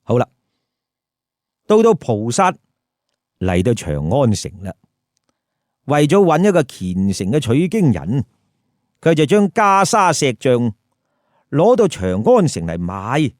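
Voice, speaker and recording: A man's voice: mid-range voice, wildly swooping pitch, slow pace, pauses often, darkish tone, no echo, clean studio sound. A man narrating a humorous story with commentary in a theatrical, colloquial, rhetorical way. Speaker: storyteller and host.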